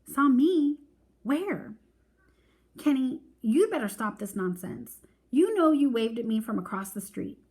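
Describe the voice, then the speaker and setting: A woman's voice: high-pitched, lively intonation, measured pace, darkish tone, slightly rough, pitched above the performer's own voice, full character voice. A woman voicing a bossy, exasperated little girl in a storybook read-aloud, dramatic and demanding, scolding like her mother. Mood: impatient.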